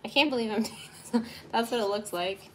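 A woman's voice: a little high, a little fast, slight background noise, low-quality recording. Chatty thirtysomething woman baking live at home, rambly and giggly, phone mic on the kitchen table. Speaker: livestream baking host. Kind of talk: casual chat while baking. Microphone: phone camera on the table.

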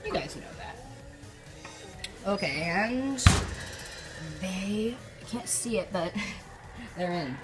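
A woman's voice: medium pitch, lively intonation, slow, pauses often. Chatty young woman cooking at home. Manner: chatty, lots of "like" and "you know". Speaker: cooking vlogger. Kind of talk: casual home cooking tutorial. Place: home kitchen, indoors. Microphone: phone or camera at arm's length. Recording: music playing underneath.

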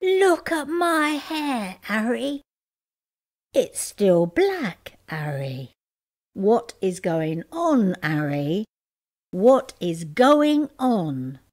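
A woman voicing an excitable, gullible schoolboy with emphatic exclamations in a storybook reading. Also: a little high, drawn-out words, full character voice. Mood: exasperated. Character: excitable gullible schoolboy, loudly exasperated, later slyly boastful.

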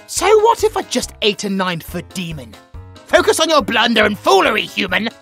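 A man's voice: high voice, very animated, normal speed, creaky, pushed and bright, soft background music, full character voice. A British man voicing a bratty, self-important tiger warrior in a comedic audio roleplay, haughty, demanding and full of theatrical exclamations. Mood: haughty proud.

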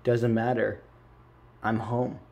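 Man acting a young male lion, earnest, defiant, stern, plain delivery, average pitch, amateur cartoon dub. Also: little pitch movement, slow pace, vocal fry, heavy background noise.

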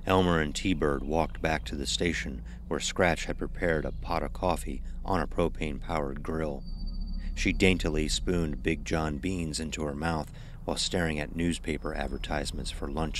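A man's voice: very deep voice, fairly even intonation, normal speed, a little hiss or hum. A man narrating a scripted horror story as the narrator of an audio drama.

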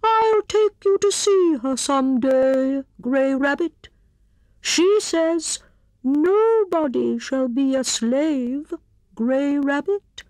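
A woman voicing a wise, sleepy old male owl in a children's storybook, with drawn-out, stately hooting speech. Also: sits high, darkish tone, no echo, voice pushed up, poor audio. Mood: kindly, stately.

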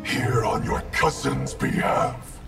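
An actor playing a cold, vengeful undead ninja in a fighting game, with a gravelly, distorted growl and threatening delivery. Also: male voice, mid-range voice, level tone, takes it slowly. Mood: defiant. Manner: threatening, declamatory. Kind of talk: fighting game character dialogue. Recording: loud music behind.

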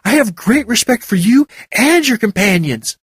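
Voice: mid-range voice, animated, normal speed, husky, no room sound, clean studio sound, voice pushed up. A narrator doing an eager, respectful stranger woman. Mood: earnest. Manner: earnest, respectful.

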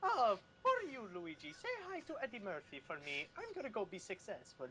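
A man with a high, animated voice does comic banter on a podcast. He is theatrical and exclamatory, with faint noise in the recording. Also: brisk, vocal fry. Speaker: podcast panelist.

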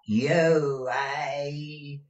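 A man voicing a deaf, blunt, cantankerous old housekeeper woman in a storybook reading, with drawn-out querulous rustic speech. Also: fairly high pitch, steady tone, muffled recording, pitched above the performer's own voice. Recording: distant, echoey room recording.

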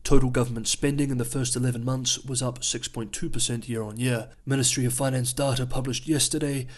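A male host narrates a scripted economic news rundown in a calm, measured voice at an ordinary mid pitch. He explains each point steadily, as if walking viewers through the figures, and his voice is wearing thin and turning hoarse. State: losing his voice. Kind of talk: scripted news analysis voice-over.

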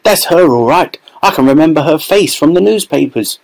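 A nosy onlooker from a storybook crowd, as a man puts him on. The voice rides high and loud, calling out gossip with relish. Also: animated, moderate pace, vocal fry, poor audio. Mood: excited. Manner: shouting, gossipy.

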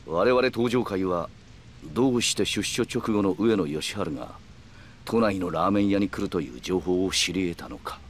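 An actor playing a calm, calculating middle-aged yakuza man who speaks in polite, measured, formal phrases in a video game. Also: sits low, a little hiss or hum. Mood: measured, insinuating. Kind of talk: Japanese video game cutscene acting.

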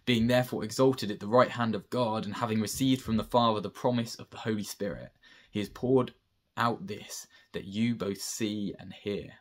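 A man's voice: fairly low pitch, steady tone, moderate pace. A young man reading a Bible passage aloud, recorded at arm's length in a room at home. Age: young adult. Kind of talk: scripture reading. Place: bright room at home by a window.

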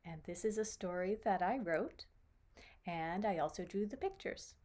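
A middle-aged woman reading a children's picture book aloud in a deliberate, expressive storytelling manner. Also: on the low side. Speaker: author reading her own children's book. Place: small room at home with bookshelves.

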